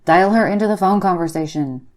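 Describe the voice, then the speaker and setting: A woman's voice: lowish voice, brisk, a little mellow, close to an everyday voice. A woman playing a bored, pushy, petulant friend in a comic phone-call sketch, insistent and sarcastic.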